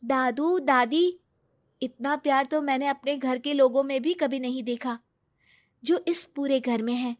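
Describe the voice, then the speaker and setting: A woman's voice: high voice, unhurried. A woman voicing a cheerful, affectionate young wife with a sweetly teasing manner in a family story.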